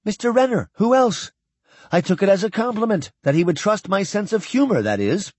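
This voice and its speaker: A man voices a playful alien mimicking a young human officer. It sounds breezy, teasing and curious, with animated pitch and a husky tone in a dry recording. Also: normal speed, no room sound. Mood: wry, breezy.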